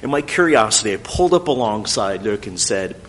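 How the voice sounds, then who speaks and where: A middle-aged man whose first language is French, telling a thoughtful, gently wry personal story in English. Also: on the low side, big pitch swings, brisk.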